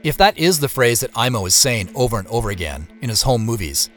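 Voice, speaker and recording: An actor playing a reflective man who narrates his secretive search in a measured, descriptive way for a scripted audio drama. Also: medium pitch, very animated, faint music behind, close to an everyday voice. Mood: focused, intent. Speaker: narrator and lead character.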